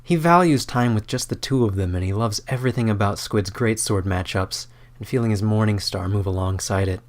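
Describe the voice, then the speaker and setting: A man reading his own fan fiction as a dramatic, mock-serious, theatrical narrator. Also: lowish voice.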